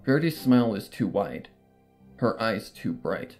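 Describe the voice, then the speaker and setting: Dramatic narrator, first-person storytelling, animated intonation, music in the background. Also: medium pitch, unhurried. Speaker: fan fiction narrator.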